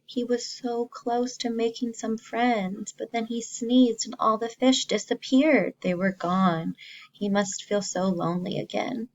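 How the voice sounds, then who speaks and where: A woman teacher reading a story to young children, expressively, pausing to explain simply and ask the listeners questions. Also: a little high, fairly even intonation, dull audio, slight background noise.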